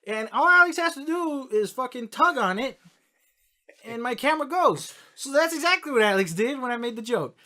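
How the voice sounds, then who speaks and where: A young man co-hosting a casual gaming podcast, laughing often and retelling stories with animated energy. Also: very high voice, normal speed. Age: young adult. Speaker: podcast co-host. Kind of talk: casual chat.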